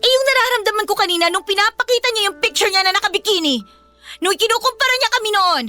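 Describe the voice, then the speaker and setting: A woman's voice: very high-pitched, lively intonation, fast talker, sharp tone, heavily acted. An actress playing a jealous, combative young girlfriend in a Filipino radio drama, scolding with emphatic questions in a Filipino accent. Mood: hurt, angry.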